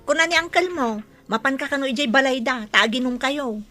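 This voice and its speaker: A chirpy young village woman in a radio drama. Her voice is high and sharp-edged bright, with music faintly behind it. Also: brisk. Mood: cheerful. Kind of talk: radio drama acting in Ilocano.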